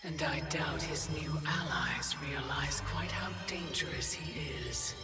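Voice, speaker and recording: An actress playing a grave, wary warrior in a video game, solemn and formal. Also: female voice, low voice, a little slow. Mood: grave concern. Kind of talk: dramatic video game acting. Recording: loud music behind.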